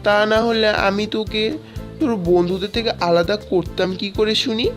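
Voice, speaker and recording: A woman's voice: medium pitch, steady tone, normal speed, close to an everyday voice. A woman playing a scheming cartoon lion. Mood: gloating. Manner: sneering, gloating. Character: sly hungry male lion, scheming. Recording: voice over loud music.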